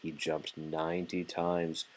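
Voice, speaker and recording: A man reading a children's storybook aloud as a father would, in an animated, playful and deliberate way. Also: extremely low pitch.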